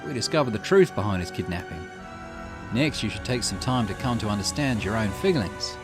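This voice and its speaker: A man voicing a calm, reasonable investigator in a visual novel, speaking in a measured, polite and earnest way. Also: lowish voice, over music, pitched below the performer's own voice, natural voice.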